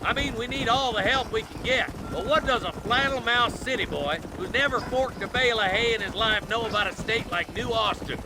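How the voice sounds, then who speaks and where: An actor playing a stern, plain-spoken Old West marshal with an American accent and folksy authority in a video game. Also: male voice, very high voice, brisk, hardly any pauses. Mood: wry, stern. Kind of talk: dramatic video game acting. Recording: noisy game audio, wind and hooves.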